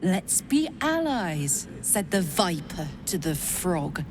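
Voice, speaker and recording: Wry female adventurer, game scene, lowish, drawn-out pace, distrustful, sardonic. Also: big pitch swings, noisy background. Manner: dry, sardonic. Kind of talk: video game character acting.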